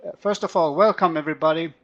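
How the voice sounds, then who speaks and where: A middle-aged man with a Finnish accent gives an online lecture as a teacher trainer, speaking haltingly and often repeating words. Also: on the high side, a bit bright. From Finland. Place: home study room. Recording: muffled lo-fi online call audio.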